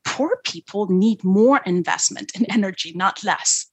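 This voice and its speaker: A woman's voice: mid-range voice, animated, unhurried, slightly rough, no room sound. A middle-aged woman from Ethiopia speaking as an interview guest, earnest and emphatic, often checking in with "right?" and "you know". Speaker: guest, development finance expert. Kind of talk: interview conversation.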